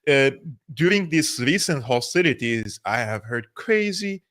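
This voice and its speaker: An Armenian-Syrian man with a mid-pitched voice hosts a conversation, asking questions slowly and thoughtfully. He restarts phrases often and fills pauses with small hesitations. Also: sing-song, a bit bright. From Syria, Aleppo. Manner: thoughtful, halting, frequent restarts and fillers. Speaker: interview host. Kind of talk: conversational interview.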